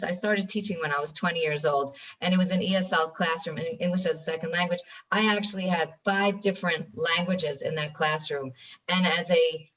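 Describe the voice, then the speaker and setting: An American woman from New York speaks in a bright, forceful voice set a little low. She tells her story earnestly as a podcast guest and often restarts her phrases. She sits a bit back from the mic. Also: far from the mic, low-quality recording. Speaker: guest, ADHD educator. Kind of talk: interview on a podcast.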